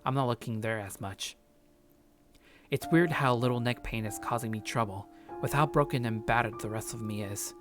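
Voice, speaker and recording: A man's voice: medium pitch, moderate pace, music in the background, close to an everyday voice. A man playing a paranoid, sardonic young man narrating his journal in a visual novel, curt and brooding. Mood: brooding, bitter.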